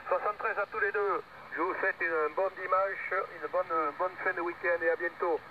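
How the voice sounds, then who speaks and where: A man's voice: high voice, steady tone, moderate pace. An older man chatting casually as an amateur operator over two-way radio. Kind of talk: casual ham radio exchange. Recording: distant tinny radio link, noisy interference.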